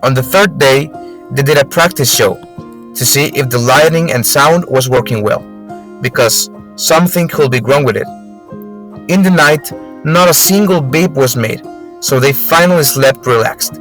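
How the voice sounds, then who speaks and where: A young man reads a scripted story as an amateur radio drama narrator. His pitch is average, and he trips on a word now and then. Quiet music plays behind him. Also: normal speed. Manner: read aloud with stumbles.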